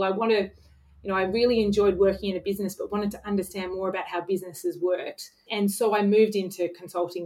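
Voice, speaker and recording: A middle-aged woman from Sydney with an Australian accent, talking casually in an interview about her career, chatty and animated, speaking over a video call. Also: mid-range voice, rough recording. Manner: chatty and animated, with many fillers and restarts. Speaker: venture investor guest.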